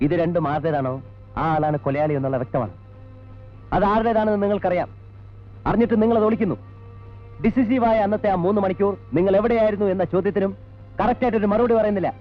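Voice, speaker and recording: Casting: man playing a fast-talking, insistent detective, formal and stern, dull old film sound with music. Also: sits high, close to an everyday voice. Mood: insistent, pressing. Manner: formal, insistent, mixing in English words. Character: stern, methodical male police investigator questioning suspects. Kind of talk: 1980s Malayalam film acting.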